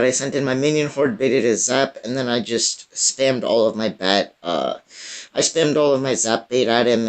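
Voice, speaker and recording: A young man with a raspy, strained voice, giving casual gameplay commentary full of fillers and restarts. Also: mid-range voice. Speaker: gaming YouTuber.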